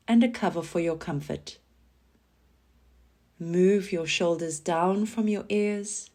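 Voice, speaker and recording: A woman leading a scripted guided relaxation meditation in a calm, soothing manner, giving gentle instructions with pauses. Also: fairly low pitch. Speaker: yoga nidra guide.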